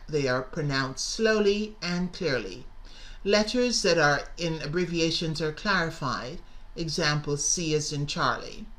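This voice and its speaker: A woman's voice: low-pitched, fairly bright tone. A middle-aged woman teacher narrating a scripted lesson, enunciating carefully and stressing key words. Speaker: English teacher and presenter.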